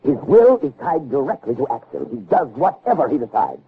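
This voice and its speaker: An actor playing an intense, obsessive scientist with theatrical, brooding delivery in an early 1960s radio drama. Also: male voice, mid-range voice, very animated, fairly quick, husky, phone-quality sound, exaggerated voice. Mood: exultant. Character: intense, obsessive male scientist impatient with human weakness. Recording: thin, noisy old radio recording.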